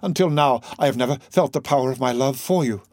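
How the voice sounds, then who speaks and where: A man's voice: medium pitch, quick pace, darkish tone, no room sound, studio quality, voice pushed up, natural voice. A man reading the part of an anguished priest desperately pleading his obsessive love, in impassioned, theatrical declamation. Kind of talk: audiobook character reading.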